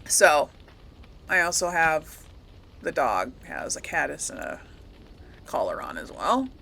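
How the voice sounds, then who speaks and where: A woman's voice: low voice, sing-song, takes it slowly, gravelly, sharp tone, slight background noise. A woman giving casual, rambling commentary as she plays a game, often correcting herself and lightly amused. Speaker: gaming host.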